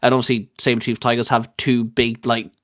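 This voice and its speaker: A man's voice: mid-range voice, level tone, a little fast, fairly bright tone, no echo, studio quality. A man hosting a casual review chat, talking like an opinionated, emphatic fan. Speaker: host.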